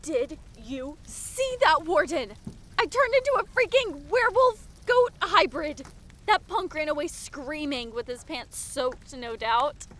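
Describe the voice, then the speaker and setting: A woman acts out a spirited young adventurer in an audio drama. Her voice is high and bright, swinging widely with excitable, brash energy. There is faint noise on the recording. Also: unhurried, full character voice. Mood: excited, amazed. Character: spirited young woman archer who turns werewolf.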